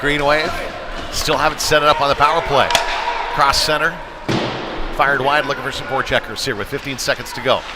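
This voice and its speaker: A man's voice: mid-range voice, steady tone, brisk, pauses often. A man with an American accent doing live play-by-play commentary of a youth hockey game in a conversational, folksy way. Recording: loud rink noise behind the voice. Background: noisy indoor ice rink with bangs.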